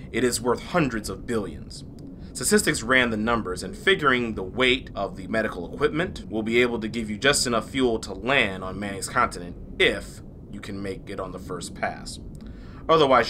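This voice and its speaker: A formal space commander in a sci-fi audio drama. His voice is fairly low and forceful, matter-of-fact and detached, over a faint noisy rumble. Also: normal speed. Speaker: actor.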